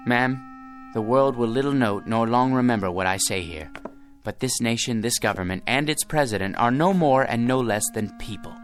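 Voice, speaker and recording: A man's voice: mid-range voice, normal speed, lightly acted. An actor playing a meek middle-aged man who becomes president, in a radio drama with music beneath. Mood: solemn. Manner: gentle, fussy, talks to his dog.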